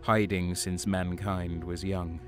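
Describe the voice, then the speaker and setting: Haunted turncoat soldier played by a man, audio drama. Slow, bitter, dramatic, on the low side. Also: little pitch movement. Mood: grim, resigned. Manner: dramatic, stammering under stress. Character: haunted, desperate renegade guardsman, defiant and bitter. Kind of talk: audio drama acting with first-person narration. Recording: music behind the voice.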